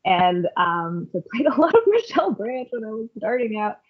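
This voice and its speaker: Husky woman on a call. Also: on the high side, big pitch swings, fairly quick. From Canada. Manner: chatty, reflective, lots of "like" and "um". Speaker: podcast guest, worship leader. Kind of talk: casual conversational storytelling. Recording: phone-like call line.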